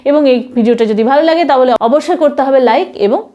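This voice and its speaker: A woman's voice: sits high, quick pace, dark tone, lo-fi sound. A woman giving an instructional singing lesson, explaining emphatically and mixing in English terms. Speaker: singing teacher. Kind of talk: instructional music lesson to camera.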